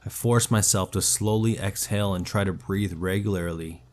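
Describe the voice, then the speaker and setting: A man's voice: low-pitched, fairly even intonation, moderate pace, a little mellow. A man reading a scripted first-person horror story as its narrator.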